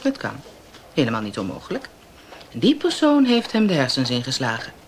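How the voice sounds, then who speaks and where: A woman's voice: extremely low pitch, huge pitch swings, fairly quick, a little hiss or hum, full character voice. An actress playing a teasing, sardonic female police inspector in a naturalistic radio drama. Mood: probing, sardonic. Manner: sardonic, probing.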